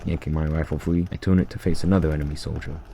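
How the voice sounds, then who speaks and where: A man's voice: extremely low pitch, level tone, very fast, creaky. A man reading his own first-person short story as scripted narration.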